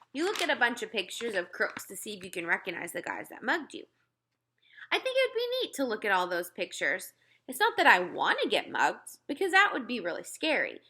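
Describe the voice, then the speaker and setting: A woman reading a children's book aloud in an expressive storytelling style. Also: medium pitch. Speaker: read-aloud reader.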